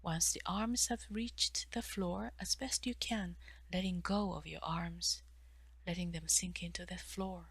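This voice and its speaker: A woman guiding a mindful stretching meditation in a soft, breathy voice, giving gentle, measured instructions with pauses and phrases that often fade toward a whisper. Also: on the low side, steady tone, no room sound. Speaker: meditation guide, therapist.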